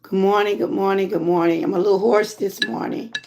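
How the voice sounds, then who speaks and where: A Southern woman from Georgia with a hoarse voice, chatting casually with viewers on a live stream from home. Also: medium pitch, fairly quick, a little hiss or hum. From Georgia, USA. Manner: relaxed, chatty, greets viewers often. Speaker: lifestyle vlogger and channel host.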